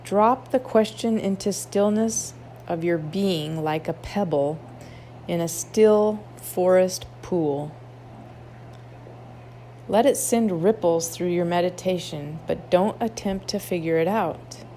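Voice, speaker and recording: Slow-paced woman guiding meditation, noisy background. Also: on the low side, mellow. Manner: unhurried, instructive, with long pauses. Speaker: meditation guide at a wellness retreat. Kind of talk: guided meditation, read aloud softly. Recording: clearly noisy ambient background.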